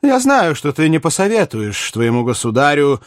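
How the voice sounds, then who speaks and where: Man playing a cunning, theatrical French king, pitched high. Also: very animated, moderate pace, dry recording, clean studio sound. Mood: effusive. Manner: wheedling, exclamatory, ironic. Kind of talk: dramatized audiobook reading of a historical novel.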